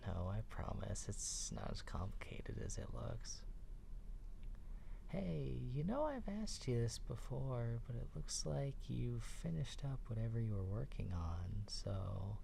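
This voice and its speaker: A man playing a soft-spoken, playful and affectionate young boyfriend in an ASMR roleplay, talking casually and nerdily, often close to a whisper. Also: mid-range voice, gravelly, slight background noise, heavily acted. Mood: gentle, reassuring, then coaxing, eager. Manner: casual, hesitant, teasing, conversational with many likes.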